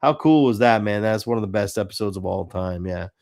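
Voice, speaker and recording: A man's voice: lowish voice, brisk, a little mellow. A man co-hosting a casual fan chat, speaking in an earnest, conversational way. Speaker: podcast co-host.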